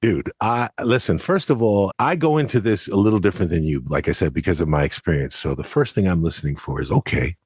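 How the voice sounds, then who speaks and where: A man's voice: sits low, quick pace, few pauses, breathy edge, no echo, studio quality. A man co-hosting a podcast, giving a casual but articulate review with emphatic, explanatory phrasing. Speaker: podcast co-host.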